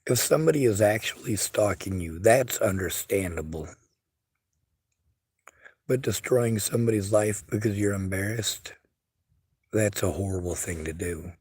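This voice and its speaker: A man's voice: lowish voice, moderate pace, pauses often, a little mellow, slightly rough, no echo. A man livestreaming, reading viewer chat and speaking bluntly and earnestly in a casual monologue. He has a stutter and a speech impediment.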